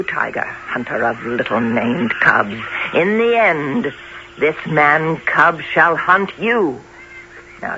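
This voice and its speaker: A woman playing a motherly, fiercely protective wolf in an old-time radio drama, with expressive, emphatic delivery. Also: low-pitched, heavily acted. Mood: fierce defiance. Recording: muffled, noisy vintage radio recording.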